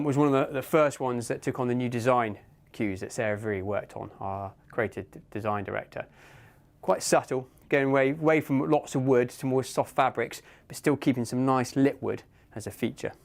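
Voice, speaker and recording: A man guiding a walkthrough of a yacht cabin, relaxed and descriptive, with ums. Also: medium pitch, moderate pace, gravelly. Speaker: yacht tour guide. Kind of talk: walkthrough tour narration.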